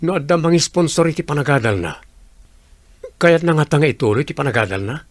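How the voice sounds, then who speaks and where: An actor playing an easygoing, curious young construction worker in casual audio drama dialogue. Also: male voice, a little high, animated, fairly quick, dry recording.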